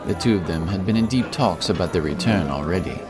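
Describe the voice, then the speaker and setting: A man's voice: low voice, fairly quick. A man narrating a scripted fantasy story. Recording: narration over prominent music. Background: music.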